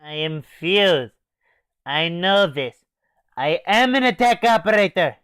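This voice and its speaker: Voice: medium pitch, expressive, takes it slowly, a bit bright, clean tone, no room sound, studio quality. A performer voicing a brash, foul-mouthed, bloodthirsty military operator from a game in a comedy skit. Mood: blunt, mocking. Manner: blunt, cocky, swearing.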